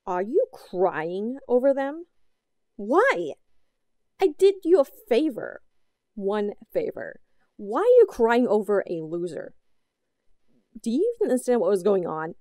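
An obsessive, possessive yandere woman, acted by a woman for an intimate audio drama. Her voice is fairly high and mellow, recorded dry and close. She talks in slow, halting pleas, lovesick and unhinged. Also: no room sound, full character voice. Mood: angry, frantic. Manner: halting, pleading, swinging between soft cooing and outbursts. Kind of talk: scripted audio roleplay, intimate and melodramatic.

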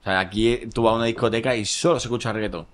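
A man's voice: lowish voice, fairly even intonation, very fast. A young man, chatting casually as a podcast co-host into a table microphone. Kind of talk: casual chat. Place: small indoor podcast studio.

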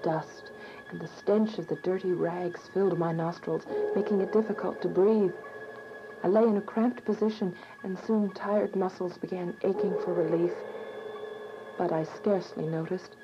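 A woman's voice: medium pitch, noisy background, close to an everyday voice. Actress as earnest fugitive woman, dull lo-fi sound. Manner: earnest, dramatic, literary delivery. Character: frightened, devout young woman fugitive telling her story. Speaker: narrator and lead actor. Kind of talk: dramatic first-person radio drama narration. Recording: dull, lo-fi audio with music behind.

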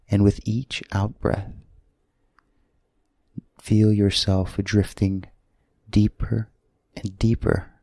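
A man leads a sleep meditation in a gravelly, soothing voice. He speaks very slowly, in short, gentle phrases separated by long pauses. The sound is close and dry. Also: lowish voice, dry recording. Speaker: guided meditation leader.

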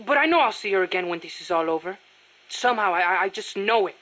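A woman's voice: medium pitch, expressive, fast, fairly bright tone, rough recording. A woman voicing an earnest, proud young boy guerrilla in a video game, youthful and hesitant when flustered. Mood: wistful, subdued. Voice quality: youthful, boyish.

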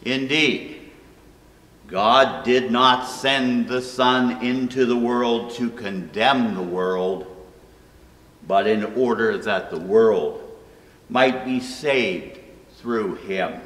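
An older man reading scripture solemnly and deliberately, phrase by phrase, recorded in a church room. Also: medium pitch, a bit bright. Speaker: scripture reader. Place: indoor church room at a pulpit. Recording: echoey hall, long reverb, distant mic.

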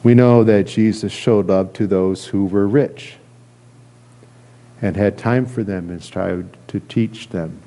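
A middle-aged man, a pastor, preaching a conversational sermon in an earnest, halting way with repeated phrases. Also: lowish voice, warm tone. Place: church sanctuary, at a lectern indoors.

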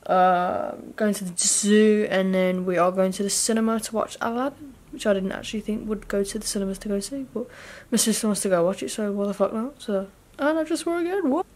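A woman's voice: mid-range voice, brisk, hardly any pauses, a little mellow. A young woman in her twenties from England, chatting in a rambling, slangy, sweary way to a camera held at arm's length in a hotel room. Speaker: vlogger. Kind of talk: casual vlog chat. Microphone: phone or camera at arm's length.

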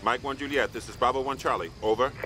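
An actor playing a gruff, dutiful army squad sergeant in a military video game, giving clipped orders and radio replies. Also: male voice, medium pitch, fast, background noise, natural voice. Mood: clipped, dry. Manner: clipped, commanding radio procedure.

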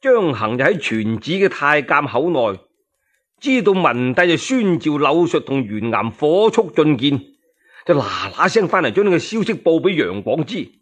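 A man's voice: on the high side, sing-song, normal speed, fairly bright tone. A man with a Cantonese accent telling a story as scripted, dramatic narration.

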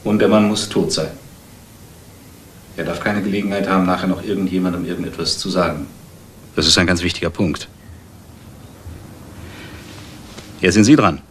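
An actor playing a cold, businesslike man who orders a killing in a crime radio play, measured, formal and matter-of-fact. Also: deep voice, steady tone, fairly bright tone, slight background noise. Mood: coldly insistent.

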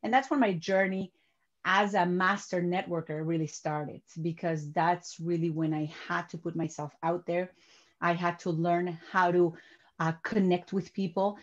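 A woman's voice: on the low side, unhurried. Woman from Peru on a video call. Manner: animated, repeats words while building sentences. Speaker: guest. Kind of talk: interview over video call. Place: home office. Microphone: webcam on video call. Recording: dull lo-fi video call audio.

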